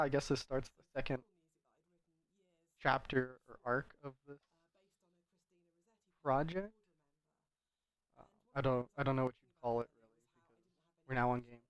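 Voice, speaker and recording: A man's voice: medium pitch, normal speed, long pauses, clean tone, dry recording, muffled recording. A man giving casual, rambling commentary over a video game in a conversational, hedging way. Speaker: gameplay commentator.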